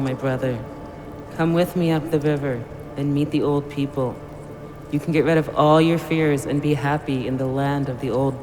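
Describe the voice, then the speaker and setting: An otherworldly spirit guide, played by a man, rings out in long reverb with music underneath. The fairly high male voice is solemn and coaxing, gently beckoning in an audio play. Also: close to an everyday voice. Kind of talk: naturalistic audio drama acting.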